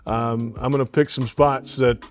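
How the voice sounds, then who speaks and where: A play-by-play radio announcer from Alabama answering interview questions casually, rambling with many fillers and restarts. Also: male voice, medium pitch, steady tone, a little slow, soft background music.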